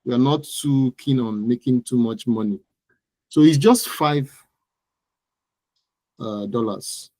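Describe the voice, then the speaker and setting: A man's voice: mid-range voice, slow pace, long pauses, mellow, no echo. A man with a Nigerian accent speaking as an expert guest in a conversational interview.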